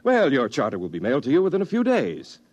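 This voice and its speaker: An actor playing a mild, fussy, easily flustered bureaucrat in a 1950s radio drama. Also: male voice, medium pitch, wildly swooping pitch, fast, a little mellow. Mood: obliging. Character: fussy, courteous, easily baffled male bureaucrat.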